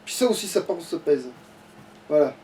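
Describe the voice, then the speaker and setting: High-pitched man gaming, crude and sweary. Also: lively intonation, moderate pace, a loud crowd. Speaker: let's player playing a video game. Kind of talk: casual gaming commentary. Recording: distant mic, music behind.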